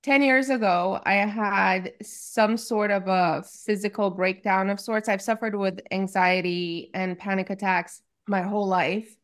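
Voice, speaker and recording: A woman's voice: mid-range voice, steady tone, a little slow, few pauses, a bit bright. A woman in her forties, originally from Romania, speaking as a life coach guest in an open, chatty way, with lots of "like"s. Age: 40s. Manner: open, chatty, frequent likes and laughs. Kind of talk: casual interview chat.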